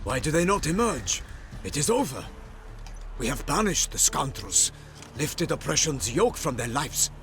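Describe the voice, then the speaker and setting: An actor playing a solemn knight errant in a fantasy game, speaking in a formal, florid, pompous manner. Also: male voice, fairly high pitch, very animated, a little slow, background noise. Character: solemn, courtly male knight errant bearing a message. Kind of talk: cinematic fantasy game dialogue.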